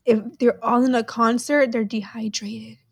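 Woman, higher pitch, dry close sound, casual podcast chat, jokey and exclaiming. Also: moderate pace, vocal fry, husky, no echo. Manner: animated, exclaims, jokes around. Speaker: podcast co-host. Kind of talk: casual chat solving riddles. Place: at home in her parents' house.

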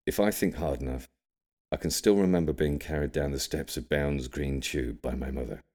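A man plays a cryptic old grandfather with an extremely low voice. He tells grave audiobook tales of a wartime childhood, measuring his words with an ominous care. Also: warm tone. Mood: grave, nostalgic. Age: older. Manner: careful, measured, ominous storytelling. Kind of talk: audiobook character storytelling.

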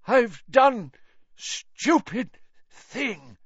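A man's voice: fairly high pitch, expressive, moderate pace, fairly bright tone, dry recording, dull audio, voice pushed up. A man voicing an exhausted, deranged older wizard official in an audiobook, rambling and gasping with effort. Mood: desperate, gasping. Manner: muttering, gasping, broken effortful speech.

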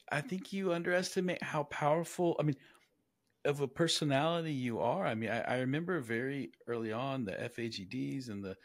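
A man hosting a casual interview podcast, speaking haltingly and often repeating words as he works out a thought. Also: mid-range voice, no echo. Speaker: podcast host.

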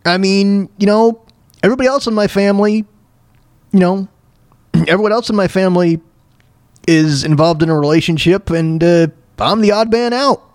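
A man hosting a solo talk show, speaking casually in a halting, stammering way with repeated false starts and a plaintive, self-deprecating manner. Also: sits high, smooth, no room sound, studio quality. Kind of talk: casual personal rant and storytelling.

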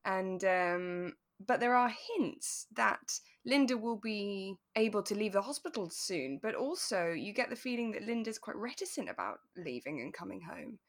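Female co-host for a relaxed review podcast: mid-pitched voice, thoughtful, explains things conversationally. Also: normal speed, hardly any pauses. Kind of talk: casual chat reviewing a radio drama.

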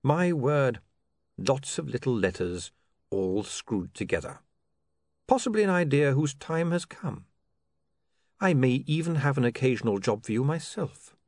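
A man's voice: mid-range voice, sing-song, measured pace, darkish tone, dry recording. A man voicing an urbane older city ruler in an audiobook, dry, formal and politely menacing. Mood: polite amusement. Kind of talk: audiobook character voice.

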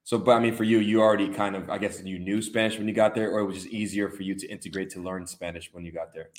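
Laid-back host man with a low voice. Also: quick pace. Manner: relaxed, agreeable, lots of yeahs. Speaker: podcast host. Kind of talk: casual interview chat.

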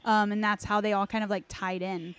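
A woman's voice of average pitch, recorded very dry with no room sound. She talks informally as a podcast host, recounting stories in a casual, conversational way. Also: flat delivery, a little fast, a bit bright, clean tone, no room sound. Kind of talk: casual chat, recounting game lore.

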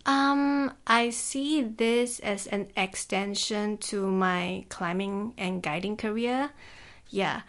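A relaxed woman in her thirties, talking as a podcast guest in an office. She speaks at an average pitch with a loose, chatty rhythm, scattering "like" through her sentences and laughing easily. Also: slow pace. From Singapore. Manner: easygoing, peppers speech with "like", laughs often. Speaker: guest, climbing guide. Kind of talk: casual interview chat.

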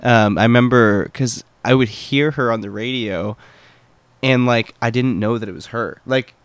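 A man's voice: mid-range voice, normal speed, clear, resonant tone, dry recording. A man co-hosting a podcast, rambling in casual chat full of fillers. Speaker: podcast co-host.

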